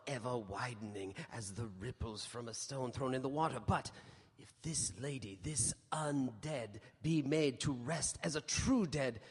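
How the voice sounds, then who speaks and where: An actor playing a solemn, commanding old professor who hunts vampires, with formal, portentous radio-drama delivery. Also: male voice, medium pitch, breathy edge. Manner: portentous, formal, emphatic oratory. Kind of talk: live radio drama acting. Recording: live stage broadcast in reverberant hall.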